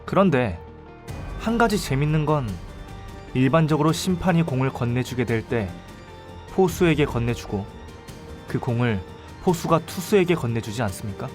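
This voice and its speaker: A man plays a young student detective in an audio drama, relentless and self-possessed. His mid-pitched delivery is deliberate and formally polite, with dramatic weight. Soft music plays behind him. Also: expressive, close to an everyday voice. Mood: pressing, accusatory. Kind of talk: audio drama acting with first-person narration.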